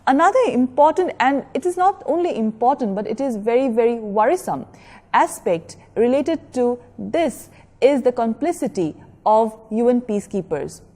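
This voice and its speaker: A woman giving an educational lecture in a measured, explanatory way, stressing key words. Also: fairly high pitch, expressive, darkish tone. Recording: long reverberant echo of a hall.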